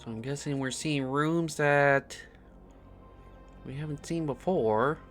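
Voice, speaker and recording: A man's voice: fairly high pitch, takes it slowly, a bit bright. A man giving casual playthrough commentary as a gaming streamer, sometimes reading on-screen text aloud. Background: game music.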